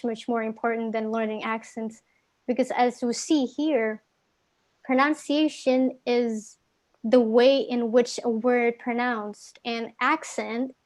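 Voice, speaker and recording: A woman's voice: on the high side, a little mellow. A young woman from Indonesia with an Indonesian accent, giving casual tips in a live online English class, with many fillers and restarts. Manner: casual, filler-heavy, self-correcting. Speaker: guest English speaking tutor.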